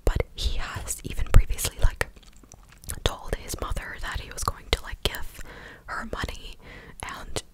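A young woman speaking in a breathy ASMR whisper close to the microphone, chatting casually and hesitantly as she recaps news. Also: low-quality recording. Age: young adult. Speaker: ASMR content creator. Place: small living room, indoors. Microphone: close desktop condenser microphone.

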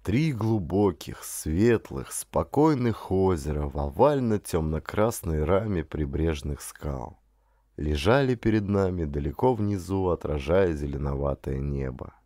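Expressive low-voiced man narrating an adventure audiobook. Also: dry recording, clean studio sound. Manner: expressive, deliberate storytelling. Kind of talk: dramatic scripted narration of an adventure novel.

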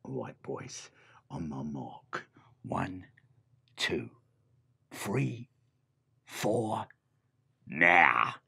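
A man voicing a bossy, blustering male bandit leader who barks orders and drops to a gruff hush when scheming. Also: on the low side, wildly swooping pitch, takes it slowly, full character voice.

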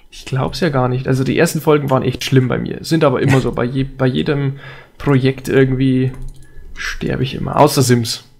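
A man's voice: mid-range voice, steady tone, a little fast, creaky, darkish tone. A man with a cold chatting casually while playing a video game, joking in a mock-formal way. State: has a cold. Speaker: Let's Play co-host. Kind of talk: casual gaming chat. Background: faint game music and keyboard typing.

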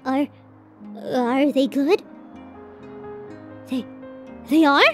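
A woman's voice: high-pitched, very slow, soft background music. A woman voicing a bashful, tender tsundere college girlfriend in a romantic ASMR roleplay, flustered and hesitant as she swings between protest and affection. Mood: shy, earnest.

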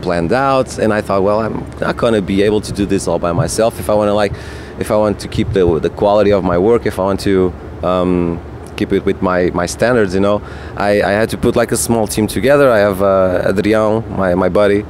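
Portuguese man, non-native English speaker, low-pitched, halting with fillers, outdoor rooftop interview. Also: darkish tone, a little hiss or hum. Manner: hesitant, lots of fillers and restarts. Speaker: guest artist. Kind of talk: casual interview chat. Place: hotel rooftop terrace outdoors. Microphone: handheld mic.

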